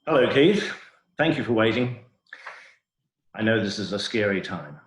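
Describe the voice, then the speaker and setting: A man's voice: on the low side, animated, normal speed, roomy sound, natural voice. A man playing a smug, shameless doctor with a grave, hesitant clinical manner in a comic play reading over a video call. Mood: grave, apologetic. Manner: hesitant, mock-solemn clinical delivery.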